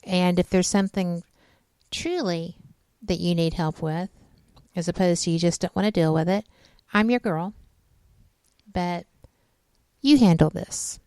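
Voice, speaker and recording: A woman in her late fifties talks casually and frankly on a talk radio show. Her low voice is gravelly with fry, yet smooth and resonant. The recording is dry and close. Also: measured pace, pauses often, dark tone, dry recording. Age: 50s. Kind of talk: casual chat.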